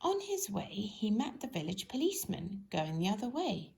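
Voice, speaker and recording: A woman's voice: mid-range voice, very animated, normal speed, gravelly, darkish tone. A woman storyteller narrating a children's storybook.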